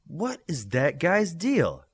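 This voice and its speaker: Man reading as curious teen boy, raised lively pitch. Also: slow pace, husky, exaggerated voice. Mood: questioning. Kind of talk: audiobook character dialogue.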